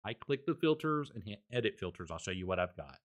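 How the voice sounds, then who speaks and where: A man streaming a casual microphone review from a home setup, talking loudly and rambling with repeated words. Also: lowish voice, big pitch swings, fast. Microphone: desktop condenser microphone.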